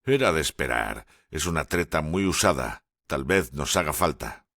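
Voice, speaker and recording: A calm, commanding middle-aged naval lieutenant, read by a male audiobook narrator speaks in a very deep, low voice. His delivery is measured and grave, and the sound is dry and close. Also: no room sound, exaggerated voice. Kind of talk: audiobook character dialogue.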